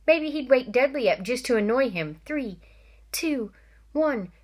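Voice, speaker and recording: A woman, a teacher, reading a novel aloud to children, expressive and stopping for asides to her listeners. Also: high voice, measured pace.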